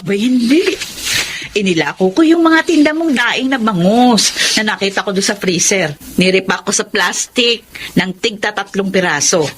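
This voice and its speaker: A woman acting a repentant, grateful middle-aged mother in a Tagalog radio drama, speaking in a heartfelt, halting way. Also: medium pitch, animated, a little hiss or hum, natural voice. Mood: defensive.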